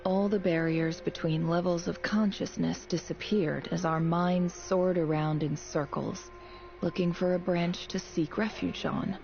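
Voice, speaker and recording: Game casting: a wry, matter-of-fact young female student, guarded, lowish pitch with noticeable vocal fry. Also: steady tone, measured pace, a little mellow, muffled recording, soft background music. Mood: reading aloud. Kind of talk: video game voice acting.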